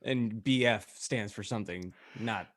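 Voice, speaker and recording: A man's voice: fairly low pitch, measured pace. A man co-hosting a comedy podcast, riffing casually in a sardonic way. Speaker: podcast host.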